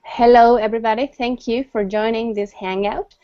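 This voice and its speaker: A woman's voice: on the high side, steady tone, moderate pace, no room sound. A woman from Mexico introducing herself as a youth organization director on a video call, polite with some fillers. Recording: dry muffled video call audio.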